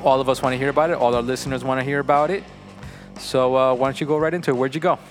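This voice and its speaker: A man's voice: mid-range voice, steady tone, racing, soft background music. A man hosting a podcast in casual chat, upbeat and playfully teasing his guests. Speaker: podcast host.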